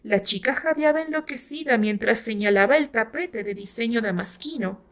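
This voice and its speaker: A woman's voice: fairly high pitch, animated, moderate pace, a bit bright. A woman with a Mexican accent reading her own romance novel aloud as dramatic, scripted narration.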